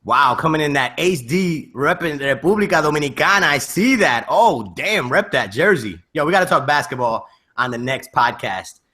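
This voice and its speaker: A man's voice: a little high, expressive, normal speed, pushed and bright. A man hosting a casual podcast in slangy, emphatic hype-man banter. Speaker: podcast host.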